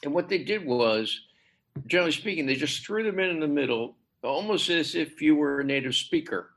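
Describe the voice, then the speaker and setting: A man speaking as a literary translator in a podcast interview, hesitant with many fillers and restarts, his pitch climbing sharply when he stresses a point. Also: lively intonation, brisk, vocal fry, fairly bright tone, low-quality recording. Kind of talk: conversational interview.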